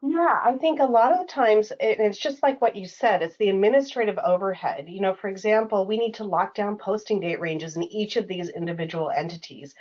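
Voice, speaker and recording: A woman explaining a software product in a conversational style, as an expert from the company. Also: mid-range voice, fairly quick, few pauses, dull audio.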